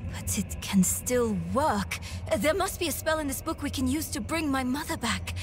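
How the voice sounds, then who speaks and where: An actress playing an earnest, determined young scholar in a dramatic video game cutscene, thoughtful and intent. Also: female voice, high-pitched, lively intonation, moderate pace, background noise. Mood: urgent, troubled.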